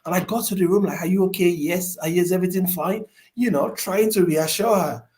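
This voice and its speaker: A man's voice: sits high, a little fast. A man co-hosting a student panel show as a program director, speaking in a hesitant conversational way with many ums. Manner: frequent ums, warm thanks, anecdotal. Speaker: co-host, MBA director.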